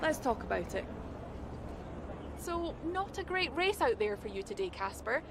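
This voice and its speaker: An actress playing a polite, probing motorsport press interviewer in a video game cutscene, composed in manner. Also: female voice, a little high, very animated, moderate pace, background noise.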